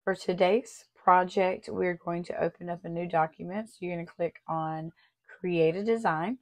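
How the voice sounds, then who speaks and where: A woman with a lowish voice hosts a design tutorial. Her voice is still slightly raspy as it comes back. She chats warmly and walks through each step one at a time. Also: fairly even intonation, moderate pace. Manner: friendly, chatty, step-by-step explaining. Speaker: craft tutorial host. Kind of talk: casual tutorial walkthrough.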